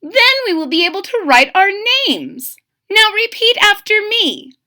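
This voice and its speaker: A woman voicing a cheery storybook bird schoolteacher, deliberate and instructive as she leads her class. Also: extremely high pitch, very animated, sharp tone, pitched above the performer's own voice, exaggerated voice. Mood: bright, instructive. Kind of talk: storybook read-aloud character.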